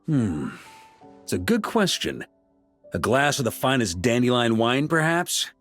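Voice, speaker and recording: An easygoing, wry knight commander. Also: male voice, mid-range voice, very animated, unhurried, a bit bright, faint music behind. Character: relaxed, good-humored grandmaster knight, adult man. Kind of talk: game voice acting.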